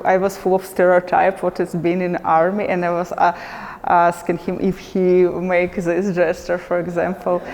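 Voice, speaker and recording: A woman with a Ukrainian accent speaking hesitant, halting English as an artist in a documentary interview, in a reverberant room. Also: lowish voice, a little mellow. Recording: reverberant room, faint background noise.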